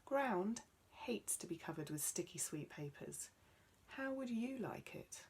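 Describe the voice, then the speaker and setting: A woman's voice: medium pitch, animated, unhurried, slightly rough. A woman voicing a male talking magic tree in a children's story, wise and bossy yet kindly, with an instructive manner. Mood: cross. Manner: chanting rhymes, instructive.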